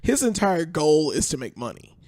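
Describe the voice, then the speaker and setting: A man with a fairly high-pitched voice chats casually as a podcast guest. He is emphatic, often repeating a phrase to drive it home. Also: very animated, normal speed, darkish tone, dry recording. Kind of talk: casual chat.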